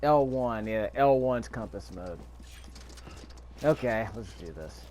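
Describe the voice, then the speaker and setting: A man with a fairly low voice gives casual commentary on a video game, rambling as he thinks out loud. There is clear background noise behind him. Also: expressive, slow pace. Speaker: gameplay video host. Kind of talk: casual let's-play commentary.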